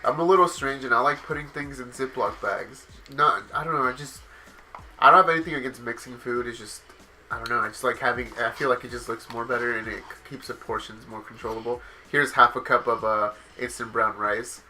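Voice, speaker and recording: A man's voice: mid-range voice, fairly quick, bright, forceful tone, low-quality recording. A young man with a Southern California accent, nasal from a cold, doing a casual voice-over in a rambling way that corrects itself often. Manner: rambling, self-correcting, many fillers. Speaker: vlogger.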